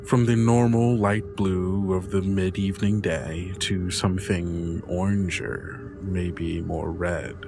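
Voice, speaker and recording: A man with a lowish voice narrates as game master. His storytelling is descriptive and dramatic, with music clearly playing underneath. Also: fairly even intonation, slow pace, few pauses. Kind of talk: narrating an actual-play tabletop story.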